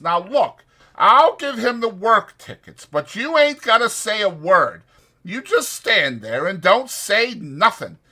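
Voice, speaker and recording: A man's voice: high-pitched, sharp tone, pitched above the performer's own voice, full character voice. A man voicing a short-tempered, bossy working man who scolds in rustic, ungrammatical speech in a dramatized audiobook.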